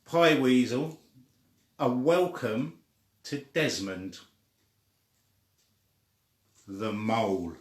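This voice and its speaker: An older man reading a children's story aloud informally, with a halting, deliberate delivery. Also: medium pitch. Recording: echoey room.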